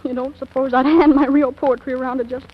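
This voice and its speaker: Lovesick teenage girl, high voice, melodramatic, impulsive, 1940s radio play, muffled recording. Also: little pitch movement, fast talker. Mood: haughty, hurt. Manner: melodramatic, breathless exclamations. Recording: muffled, noisy old radio broadcast recording.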